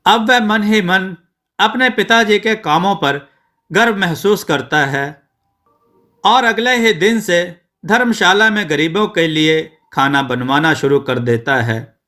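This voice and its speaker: A male narrator tells a scripted moral story. His voice is clear-edged and bright, sitting a little high. He takes his time, setting out each short phrase at a slow, deliberate pace. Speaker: narrator of devotional moral stories.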